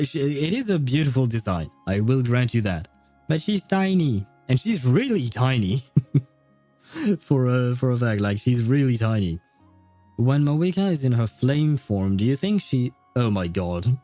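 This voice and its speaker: A man livestreams in a mellow, relaxed voice, chatting casually as he games. He rambles and hesitates with easy amusement. The sound is dry and close, with faint music behind him. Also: mid-range voice, no echo. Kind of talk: casual live chat while gaming.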